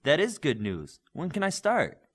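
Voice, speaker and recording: Quick-witted teasing young heroine read by a male narrator, higher pitch, lively intonation. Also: breathy edge, exaggerated voice. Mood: eager. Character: brainy, teasing young female superhero student.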